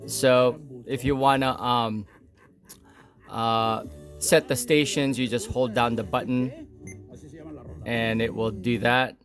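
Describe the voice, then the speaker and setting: A man with a mid-pitched voice talks slowly through an unscripted hands-on demo. He explains things in a plain, low-key way, breaking his sentences into short, halting phrases with pauses. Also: steady tone, a bit bright, soft background music. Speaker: product demonstrator.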